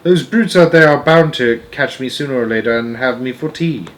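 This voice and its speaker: A man's voice: mid-range voice, normal speed, pitched above the performer's own voice, lightly acted. A man voicing a small, plucky storybook girl who is inquisitive, persistent and properly spoken. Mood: anxious.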